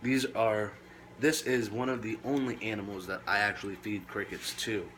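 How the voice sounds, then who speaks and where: A man presenting reptile care tips in a casual, laid-back way with short emphatic phrases, now and then coughing or clearing his throat. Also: fairly low pitch, steady tone, normal speed, noisy background. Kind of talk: casual informal talk to camera.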